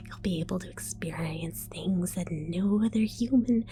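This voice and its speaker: A woman voicing a scatterbrained female mad scientist in an ASMR roleplay, whispering softly, giggling and stammering as she falsely reassures. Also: on the low side, a little slow, lightly acted. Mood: sly whisper. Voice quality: soft whisper.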